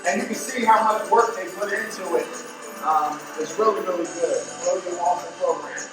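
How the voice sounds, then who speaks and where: A man with a fairly high voice speaks informally to a live audience as host. His delivery is halting and appreciative. He is heard from some distance in a very echoey room, with clear music behind him. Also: fairly even intonation. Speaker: artist-in-residence introducing a puppet show.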